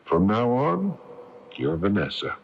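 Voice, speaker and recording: An actor playing a rugged, wry, laconic adventurer hero in a 1980s radio drama. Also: male voice, fairly low pitch, huge pitch swings, takes it slowly, gravelly, breathy edge, full character voice. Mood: relieved, tender. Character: rugged, wry adult male adventurer hero, steady and romantic. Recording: dull lo-fi AM radio recording.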